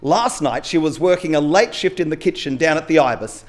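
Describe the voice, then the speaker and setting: Man acting middle-aged male news reporter, story reading, earnest, formal, lively higher voice. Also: fairly quick, close to an everyday voice.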